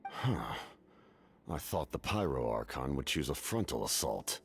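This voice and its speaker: An imposing military commander in a video game. His voice is extremely deep and gravelly, stern and grave, with swings in pitch for emphasis. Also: very animated, a little slow, heavily acted. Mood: grave, suspicious.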